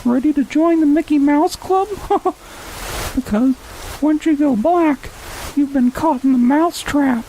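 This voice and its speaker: Squeaky falsetto lewd cartoon mouse. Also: male voice, measured pace. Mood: gleeful, lewd. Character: lewd high-pitched cartoon male mouse, cocky and sly. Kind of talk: parody story character voice. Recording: hissy background noise.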